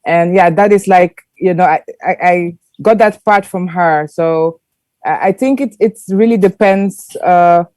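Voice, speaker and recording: Female panelist, low and warm, halting delivery. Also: dry recording. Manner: hesitant, many fillers. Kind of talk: casual discussion.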